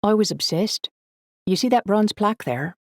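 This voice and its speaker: A woman's voice: medium pitch, animated, moderate pace, no echo, studio quality, pitched above the performer's own voice, lightly acted. A woman voicing an eager young woman in an audiobook. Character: small young Irish woman, eager history enthusiast, mesmerizing lilt.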